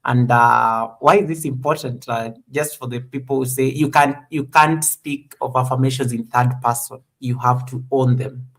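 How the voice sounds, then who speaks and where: A man in his thirties with a gravelly, creaky voice hosting a relaxed live chat. He talks in an encouraging way and often loops back to restart his sentences. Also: medium pitch, normal speed, hardly any pauses, low-quality recording. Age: 30s. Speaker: host. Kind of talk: casual live-stream interview.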